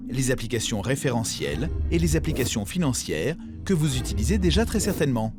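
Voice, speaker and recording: A man voicing an eager, self-assured, helpful software mascot, presenting in an upbeat way in an educational cartoon. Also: medium pitch, lightly acted. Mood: proudly presenting. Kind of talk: scripted explainer in an educational cartoon.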